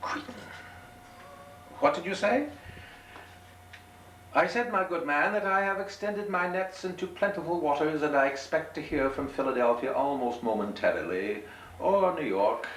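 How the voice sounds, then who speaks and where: A man voicing a small, shabby, proud and melancholy drunken gentleman with florid, proper speech in a story reading. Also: fairly high pitch, animated, moderate pace, pauses often, pitched above the performer's own voice. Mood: indignant, boastful. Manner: florid, proper, pompous oratory. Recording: roomy, distant, noisy amateur home recording.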